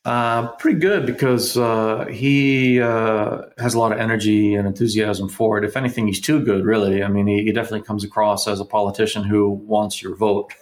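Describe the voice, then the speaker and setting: A man giving political analysis in conversation, speaking in a measured, halting way with formal vocabulary. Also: lowish voice, steady tone. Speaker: political analyst, interview guest.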